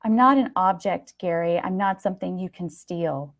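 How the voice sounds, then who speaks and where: A woman's voice: fairly low pitch, moderate pace, close to an everyday voice. A woman voicing a giddy young bride-to-be who is exclamatory and turns hesitant and pleading when challenged. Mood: defensive.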